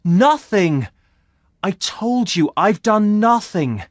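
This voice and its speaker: A man's voice: sits high, sing-song, slow pace, dry recording, studio quality, pitched above the performer's own voice, exaggerated voice. A man doing a frightened, pleading young man. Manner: trembling, pleading.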